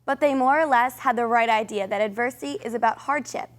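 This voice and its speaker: Young female co-host, higher voice. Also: quick pace. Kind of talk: scripted presenting.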